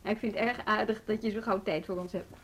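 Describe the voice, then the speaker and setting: A woman's voice: medium pitch, fairly even intonation, fairly quick, fairly bright tone, a little hiss or hum, close to an everyday voice. An actress playing a determined, diplomatic woman from a music school in a radio drama, earnest and persuasive, with a Netherlands Dutch accent. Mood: polite, friendly. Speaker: actor in a radio play.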